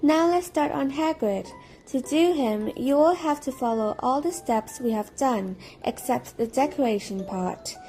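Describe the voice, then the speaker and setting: A woman's voice: high voice, animated, a little slow, mellow, soft background music. A female student reading scripted instructional narration for a craft tutorial.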